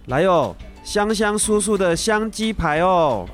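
A man's voice: high voice, expressive, takes it slowly, soft background music. An amateur actor, a man, playing a friendly middle-aged night-market vendor in a children's radio drama. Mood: hawking.

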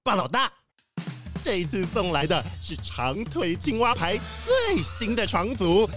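A woman's voice: medium pitch, big pitch swings, measured pace, over music. A woman playing a polite, chatty, chirpy delivery worker in a children's audio drama. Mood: chirpy, admiring.

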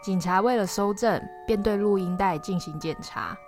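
A woman's voice: medium pitch, moderate pace, a little mellow, soft background music. A woman podcast host reading scripted story narration.

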